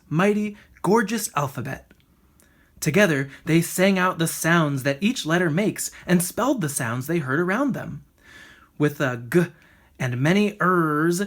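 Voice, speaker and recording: A woman narrates a children's story. The voice sits a little low and has a husky texture. The reading is expressive, with plenty of movement up and down. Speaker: storybook reader.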